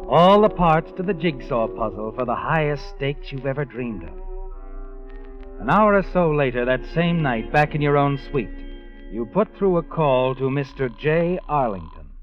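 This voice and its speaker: Actor as an ominous, swooping radio suspense narrator. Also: male voice, medium pitch, animated, moderate pace, natural voice. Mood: insinuating. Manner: insinuating, dramatic. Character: ominous knowing male narrator, needling the heroine. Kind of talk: 1940s radio suspense narration in second person. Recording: muffled old radio recording with music.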